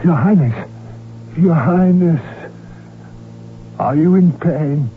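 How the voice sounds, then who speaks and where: An actor playing an earnest court doctor who narrates gravely and deliberately in a 1970s radio drama. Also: male voice, a little high, a little hiss or hum. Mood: anxious, respectful. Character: earnest court doctor recounting an assassination he witnessed. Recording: muffled vintage radio broadcast, music underneath.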